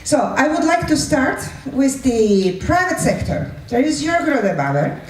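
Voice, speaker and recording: A woman's voice: high-pitched, very animated, unhurried. A woman presenting live as a moderator, explaining in a didactic way and checking in with short questions. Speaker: presenter and moderator of a participatory one-act play. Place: echoing room at a live event. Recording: roomy, distant mic with faint noise.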